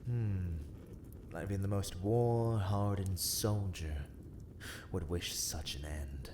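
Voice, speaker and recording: Man acting as haughty vampire castle lord, low voice, formal archaic speech, dark ASMR roleplay, ambient noise behind. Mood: ominous, threatening. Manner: formal, archaic, slow and deliberate threats.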